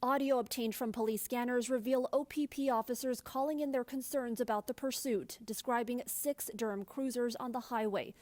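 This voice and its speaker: A woman news reporter with a Canadian accent and a fairly high voice. She delivers her report in broadcast news style. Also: steady tone, normal speed, few pauses, darkish tone.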